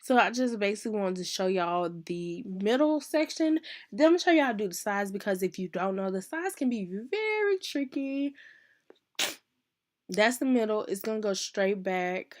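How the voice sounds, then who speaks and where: A sick woman, her voice hoarse and worn as she talks viewers through a hair tutorial. She is casual and chatty and often stops mid-phrase to correct herself. Her pitch sits in the middle of a woman's range. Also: very animated, normal speed. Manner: chatty, uses "y'all", self-correcting. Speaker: hairstylist teaching a tutorial.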